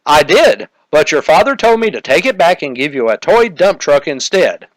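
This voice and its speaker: A man's voice: fairly high pitch, sing-song, moderate pace, pitched above the performer's own voice. A man voicing a jolly but easily indignant old Santa Claus in a comic detective parody. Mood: matter-of-fact.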